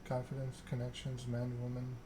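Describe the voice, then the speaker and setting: A man plays a proud, scheming game vampire, delivering a self-important monologue in ASMR style. The pitch is middling and very level, and clear noise sits behind the voice. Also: completely flat delivery, normal speed, natural voice. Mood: proud, nostalgic. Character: proud, scheming vampire aristocrat telling their story. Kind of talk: ASMR read-aloud of game dialogue.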